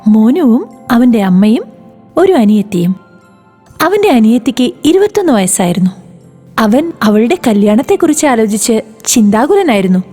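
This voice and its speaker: A woman narrating a children's moral story in an expressive, sing-song way. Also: a little high, normal speed, soft background music.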